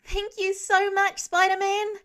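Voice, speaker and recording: A woman reading aloud voicing a cheerful little girl in a very high, slow, bubbly voice. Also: little pitch movement, fairly bright tone. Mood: grateful. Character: little girl with missing teeth, grateful and cheery.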